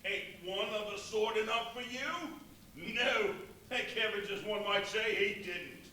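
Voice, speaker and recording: A man playing a chatty, gullible working-class mariner, animated and rambling in a live radio play. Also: high-pitched, slow, heavily acted. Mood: uneasy, excited. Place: live stage performance in a brewery venue. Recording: echoey, noisy live venue, far from mic.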